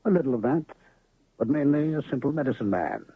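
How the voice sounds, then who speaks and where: A man's voice: medium pitch, lively intonation, brisk, breathy edge, no echo. An actor playing a mysterious male medicine man and illusionist in an old radio drama, speaking grandly and theatrically. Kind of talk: 1970s radio drama acting. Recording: lo-fi phone-band old radio recording.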